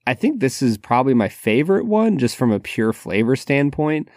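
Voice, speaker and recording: A man's voice: mid-range voice, sing-song, normal speed, a little mellow, dry recording. Dry-humored man on a close podcast mic. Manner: dry sarcastic humor, repeats words as he starts. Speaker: podcast co-host. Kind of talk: casual podcast chat.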